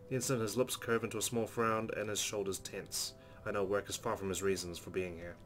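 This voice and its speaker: A man with a low voice narrates a story game at a quick clip. He reads in an earnest, expressive storytelling style over faint music. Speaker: let's player reading a visual novel aloud. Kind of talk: dramatic reading of first-person narration. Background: soft game music.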